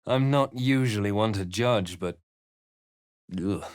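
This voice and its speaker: A serious young male adventurer in a fantasy game, pitched fairly low and recorded dry and close. He recounts his journey like a storybook, deliberate and dramatically hushed. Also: clean tone, dry recording. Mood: wry, muttering. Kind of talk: video game voice lines and past-tense storybook narration.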